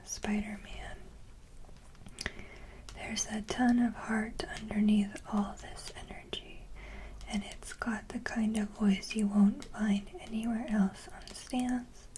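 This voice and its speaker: A woman whispering softly in a casual ASMR style, talking to viewers with frequent hesitant pauses mid-sentence. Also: mid-range voice, flat delivery, low-quality recording. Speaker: ASMR video creator.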